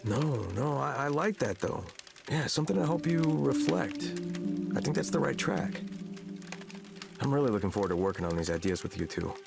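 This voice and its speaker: A man's voice: medium pitch, lively intonation, moderate pace, gravelly. A man playing an earnest, idealistic young male grad student in a visual novel, speaking in a reflective, hesitant, naturalistic way. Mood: hopeful, musing. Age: young adult. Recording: music behind the voice. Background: background music.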